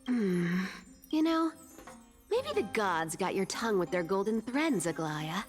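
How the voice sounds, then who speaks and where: A woman's voice: medium pitch, big pitch swings, unhurried, faint music behind. An actress voicing a sly, greedy female thief demigod in a video game, teasing and theatrical. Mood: sarcastic, probing. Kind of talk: video game character acting.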